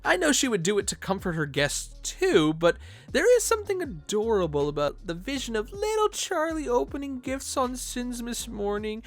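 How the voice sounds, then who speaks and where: A man's voice: very high-pitched, sing-song, normal speed, soft background music. A man narrating an informal fan video essay, with playful, conversational asides.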